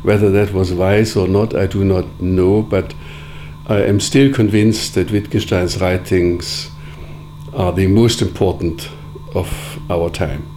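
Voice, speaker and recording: A man whose first language is Sorbian, answering interview questions in a measured, deliberate way with frequent pauses. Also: deep voice, steady tone, warm tone, a little hiss or hum. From Germany. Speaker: interviewee, editor of philosophical writings.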